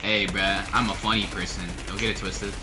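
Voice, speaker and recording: A man's voice: fairly low pitch, little pitch movement, fairly quick, music in the background. A young man joking and laughing while chatting with friends over a game voice call. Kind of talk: casual gaming chat with friends.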